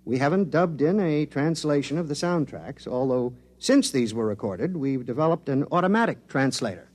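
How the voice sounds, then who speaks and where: A man's voice: mid-range voice, animated, normal speed, a little mellow, lightly acted. A man playing an earnest 1950s linguist. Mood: explaining. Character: Nathan, eager male linguist in contact with aliens. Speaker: linguist briefing the press. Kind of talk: 1950s radio drama acting.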